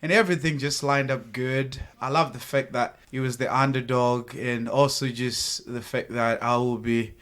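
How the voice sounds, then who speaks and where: A man's voice: medium pitch, steady tone, moderate pace, few pauses, a bit bright. Earnest Zimbabwean man on a podcast. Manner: earnest, grateful, joking at times. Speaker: sales team guest. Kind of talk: casual podcast interview.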